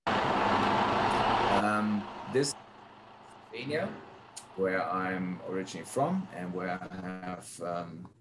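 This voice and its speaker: A man presenting slides informally, speaking haltingly with frequent ums as he explains his work. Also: low-pitched, slight background noise. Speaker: presenter, prefab builder.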